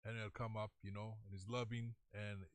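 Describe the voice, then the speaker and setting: Kenyan radio host telling stories. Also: male voice, on the low side, level tone, brisk, no room sound. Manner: storytelling, laughs often. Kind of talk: casual studio chat mixing Swahili slang. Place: radio studio.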